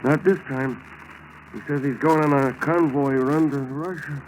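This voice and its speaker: A man's voice: medium pitch, fairly even intonation, a little slow, lightly acted. An actor playing an ordinary neighborly American air-raid warden and father in a 1940s radio drama. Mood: neighborly chatting. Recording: noisy old 1940s radio recording.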